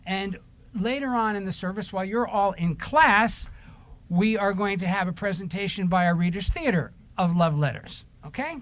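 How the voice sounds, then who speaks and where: A man's voice: high voice, normal speed, a bit bright. A man leading a children's time at church, asking simple questions, repeating answers and reading short pieces aloud. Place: church service.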